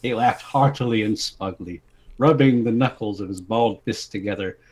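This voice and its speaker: A man's voice: lowish voice, moderate pace, a little mellow, husky. A man giving a dramatic reading of a comic fantasy story as its narrator. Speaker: story reader.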